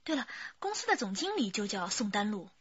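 Husky-voiced composed female detective. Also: medium pitch, sing-song, fairly quick, fairly bright tone, dry recording, muffled recording. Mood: composed, attentive. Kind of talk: radio drama acting.

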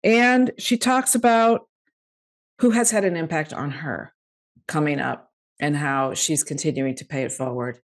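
A woman's voice: low-pitched, unhurried. A middle-aged woman hosting an upbeat podcast interview. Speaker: podcast host. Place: indoor room at home or office, video call. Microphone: desk microphone with headset.

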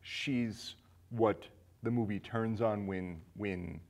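Low-voiced man in casual discussion. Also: takes it slowly, creaky, a little mellow. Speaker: film discussion show co-host. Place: small indoor studio set with curtain.

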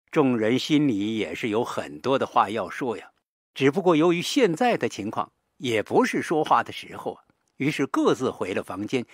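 A man's voice: medium pitch, slightly rough, dry recording, clean studio sound. A man narrating a horror-mystery audiobook in a dramatic, scripted storytelling style.

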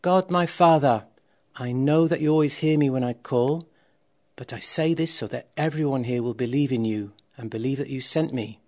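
A man voicing a calm, commanding holy teacher in a told Bible story, speaking with assurance. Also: medium pitch, brisk, few pauses, natural voice.

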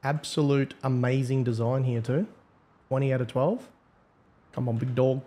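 A man's voice: medium pitch, moderate pace, dark tone. A man giving casual, slangy, wry gameplay commentary. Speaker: host, game server admin.

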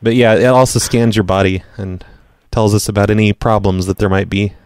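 A man making a casual prank phone call in a deadpan, hesitant manner, rambling and repeating himself as he goes. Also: on the low side, a little fast, no room sound, studio quality. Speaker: prank caller, show host.

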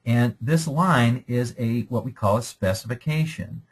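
A man's voice: mid-range voice, measured pace, slightly rough. A man lecturing over slides in a conversational, explanatory way, often checking in with "okay?".

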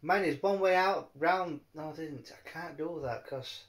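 A man chatting casually in a rambling, halting way, often repeating his words. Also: on the high side. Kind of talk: rambling casual phone chat.

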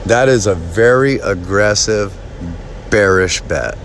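A man talking casually to his viewers about a stock chart, halting with many ums and restarts. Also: on the low side, a little hiss or hum. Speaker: stock market video host. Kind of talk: casual talk over a chart screen share.

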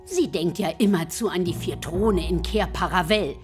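A woman's voice: fairly low pitch, normal speed, close to an everyday voice. A woman playing a sensible, motherly beaver in a German radio drama, earnest and emphatic. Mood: earnest warning. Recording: music under the voice.

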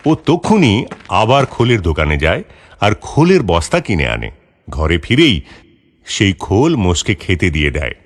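Animated male children's story narrator. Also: low-pitched, moderate pace. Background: background music.

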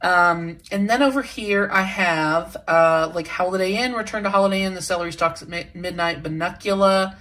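Lower-pitched young American woman, chatty vlogger. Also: moderate pace, few pauses, fairly bright tone. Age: young adult. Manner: chatty, informal, uses slang. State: sick with a sniffle. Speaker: book vlogger and channel host. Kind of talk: casual shelf-tour chat. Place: indoors in a home library room.

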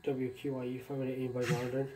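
A man's voice: mid-range voice, monotone, fast. An American man chatting casually as a radio operator on a two-way radio. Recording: noisy, distant mic beside handheld radio.

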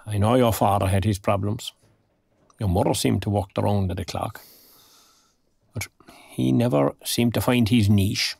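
A man's voice: on the low side, fairly even intonation, moderate pace, vocal fry, long pauses, darkish tone, husky, no room sound, studio quality. An actor playing a proper, old-fashioned retired Irish working man, older and plain-spoken, in an audio drama. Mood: plain, reminiscing. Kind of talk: naturalistic audio drama dialogue.

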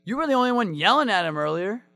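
An anxious young man acted by a male student in an amateur radio play. The voice is high and lively in pitch, accusing his friends while urging calm. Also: fast, vocal fry, no room sound, soft background music, full character voice. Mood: alarmed. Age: young adult.

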